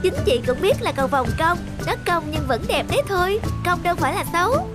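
A cheerful cartoon goldfish played by a woman, high and bright, always encouraging a friend. The tone is warm-hearted and reassuring. Music runs clearly underneath. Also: very animated, fairly quick. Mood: comforting. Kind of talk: cartoon voice acting.

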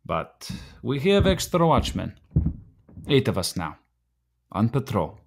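An actor playing a fiery, wounded Slovak immigrant night watchman in a radio play, with a Slovak accent and halting, broken phrases. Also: mid-range voice, very animated, vocal fry, full character voice. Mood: brooding.

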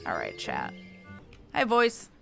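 A woman's voice: extremely low pitch, huge pitch swings, slow, gravelly, faint music behind. A woman game streamer giving casual playthrough commentary.

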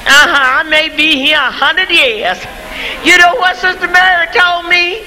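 A woman's voice: very high voice, steady tone, a little slow, sharp tone, soft background music, low-quality recording. A woman in her seventies, a church mother, giving a fervent testimony full of praise in a church, on an old tape recording. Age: 70s. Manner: fervent, exclaims praise often. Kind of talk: church testimony and gospel singing. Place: church sanctuary.

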